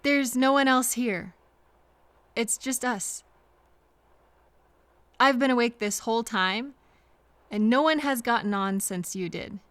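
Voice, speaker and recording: A woman acting as a kind female train passenger in an intimate audio roleplay. Her voice is high and soft, and she takes her time, hesitant and reassuring, leaving pauses for replies. The sound is close and dry. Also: dry recording. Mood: soothing. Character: kind, apologetic woman train passenger comforting a frightened stranger.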